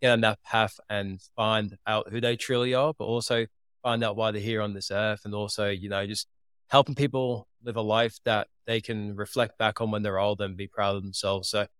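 A young man from Liverpool, now living in Australia, tells his story in a steady, earnest way. His voice is low and clear, with very little rise and fall, and it sits close and dry in the recording. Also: normal speed, dry recording. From England. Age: 20s. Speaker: podcast guest. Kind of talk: casual interview talk.

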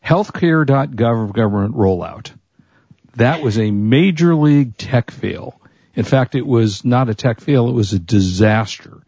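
A man co-hosts a relaxed tech talk show with a husky voice that is starting to give out. His tone is mellow and dark. The recording is dry and a little dull. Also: mid-range voice, measured pace, no echo. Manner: chatty, offers product rundowns and opinions. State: losing his voice. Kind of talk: casual tech chat.

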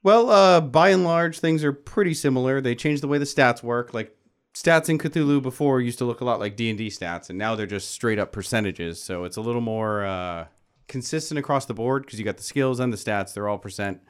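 A man's voice: mid-range voice, a little fast, clean tone. Casual man explaining game rules. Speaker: game master of a tabletop horror podcast.

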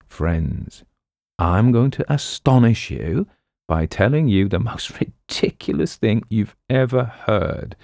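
A man voicing a brash, fast-talking book pitchman and con artist in an audiobook story, full of bombastic patter. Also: lively intonation, vocal fry, a little mellow, dry recording, clean studio sound. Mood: grand sales pitch.

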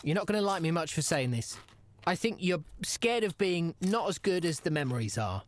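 A man's voice: a little high, very animated, moderate pace, a little hiss or hum. An actor playing an exasperated, mock-serious podcast interviewer pressing his wrestler friend in an audio comedy, insistent and pleading. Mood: concerned. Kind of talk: comedy audio drama dialogue.

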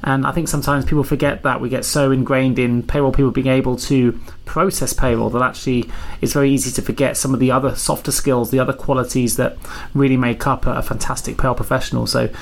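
A man hosting a podcast interview, chatty and friendly, his voice a little croaky from a cold. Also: mid-range voice, little pitch movement, fast. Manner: friendly, chatty, filler-heavy. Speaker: podcast host.

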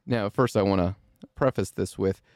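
Low, mellow-voiced male podcast host. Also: moderate pace, dry recording, clean studio sound. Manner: earnest, emphatic explaining. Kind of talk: interview podcast.

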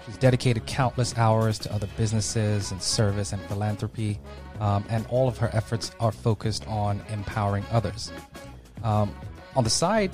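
A man hosting a podcast who reads a scripted intro, then chats upbeat with many fillers. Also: fairly low pitch. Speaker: podcast host.

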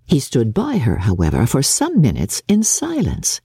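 Female audiobook narrator: husky, expressive, dramatic literary reading, low-set voice, dry close sound. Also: no echo. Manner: expressive, theatrical, measured. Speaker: narrator of a classic novel.

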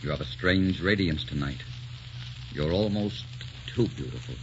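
Deep-voiced tormented romantic poet. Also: male voice, lively intonation, measured pace, noisy background. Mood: adoring, haunted. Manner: murmuring, impassioned, melodramatic. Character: tormented, adoring poet husband, haunted and desperate. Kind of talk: 1940s radio romance acting. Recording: muffled 1940s radio broadcast, music behind.

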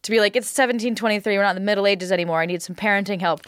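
A woman's voice: mid-range voice, racing, smooth, dry recording. A woman in casual comedic podcast talk, retelling things with acted-out quotes. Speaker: podcast panelist.

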